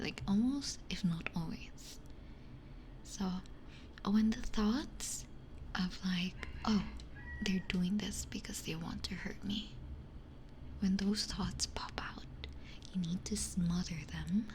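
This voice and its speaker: A woman whispering casually to live viewers in an ASMR style, hushed and breathy, close on a binaural microphone. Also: medium pitch. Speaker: ASMR streamer.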